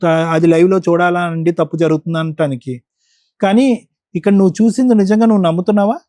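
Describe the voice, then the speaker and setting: A man counselling a caller, speaking calmly and patiently as he reasons the case through. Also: high-pitched, fast, dry recording, clean studio sound. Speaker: counsellor on a talk show.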